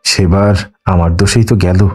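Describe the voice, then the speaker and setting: A man's voice: low voice, steady tone, normal speed, darkish tone, faint music behind. A man voicing a resigned, anxious young housewife and mother who talks in plain colloquial reasoning, in an audiobook.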